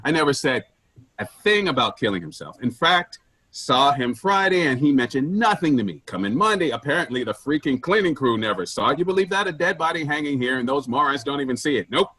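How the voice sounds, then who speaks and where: A man plays a callous, impatient boss in a dark stage comedy. His husky, fairly high voice swings widely as he lectures, blunt and full of himself, in a dry close sound. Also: expressive, fairly quick, dry recording, poor audio. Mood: callous, flippant. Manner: blunt, self-important, lecturing. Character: pushy, penny-pinching male office boss, callous and impatient.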